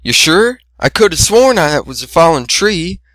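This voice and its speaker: Storybook farm pony sister, played by a man: raised pitch, folksy and chiding, exasperated but teasing. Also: sing-song, moderate pace, fairly bright tone, no room sound.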